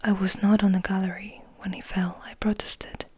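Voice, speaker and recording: A woman voicing a melancholy, guilt-ridden male vampire in a slow, breathy whispered storybook reading. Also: mid-range voice, flat delivery, darkish tone, a little hiss or hum, lightly acted. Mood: mournful, guilty. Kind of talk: whispered ASMR audiobook reading.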